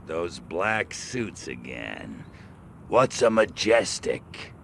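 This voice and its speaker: Gravelly, cocky little alien invader. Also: male voice, low voice, very animated, extremely slow, exaggerated voice. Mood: wry. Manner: sardonic, menacing drawl. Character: small, cocky, sadistic male alien invader. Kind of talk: video game cutscene acting. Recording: music behind the voice.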